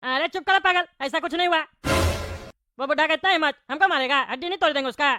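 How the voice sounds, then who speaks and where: A performer voicing a loud, angry bald male politician, an indignant, threatening buffoon in a Hindi comedy cartoon. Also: high-pitched, fast, a little hiss or hum. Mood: outraged. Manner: blustering, threatening, complaining.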